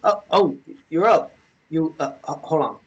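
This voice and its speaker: An actor playing a shy, apologetic, gentle young man in a romance table read, stammering and halting as he speaks. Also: on the high side, slightly rough, highs cut off. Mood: flustered.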